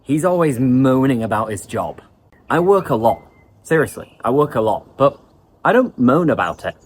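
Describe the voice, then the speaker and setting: A man teaching English vocabulary in an online lesson, explaining words in a lively way. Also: medium pitch, moderate pace, husky.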